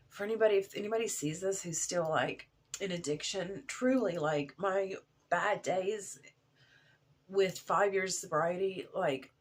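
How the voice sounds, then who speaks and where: A woman in her thirties with a cold and a sore throat, talking haltingly in a personal video diary, full of fillers and restarts. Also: on the low side, husky. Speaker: vlogger.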